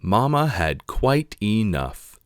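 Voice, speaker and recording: A man reading a children's picture book aloud in an expressive narrating style. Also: lowish voice, slow, smooth, dry recording, clean studio sound. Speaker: storybook reader.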